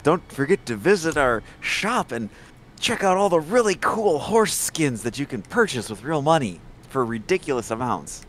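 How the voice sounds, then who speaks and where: Animated, excitable man gaming. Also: fairly high pitch, normal speed, slight background noise. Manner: excitable, joking. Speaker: co-op gamer. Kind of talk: casual gaming commentary. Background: game music.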